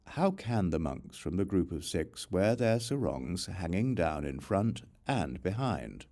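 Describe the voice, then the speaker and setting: A man reading scripture as an audiobook narrator, in a measured, formal recitation. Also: sits low, warm tone. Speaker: audiobook reader.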